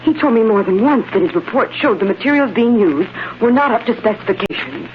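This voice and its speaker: An actress playing an anxious, earnest wife in an old-time radio drama, hesitant and pleading. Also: female voice, a little high, expressive, brisk, lightly acted. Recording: muffled, noisy vintage radio recording.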